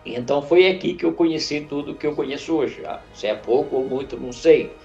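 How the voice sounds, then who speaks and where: An older man from northern Portugal, a church elder, recalling his life slowly with restarts in a studio interview. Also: fairly high pitch, creaky, a little mellow, muffled recording, soft background music. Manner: halting, reflective storyteller with frequent restarts. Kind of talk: unscripted interview. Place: podcast studio.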